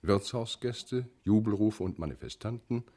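A man's voice: on the low side, moderate pace, dark tone. A man announcing the closing credits of a radio play from a script.

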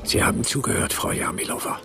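A man's voice: quick pace, noisy background, close to an everyday voice. A man playing a hard-boiled, impatient detective in a radio drama, curt and sarcastic. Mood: tense, bitter.

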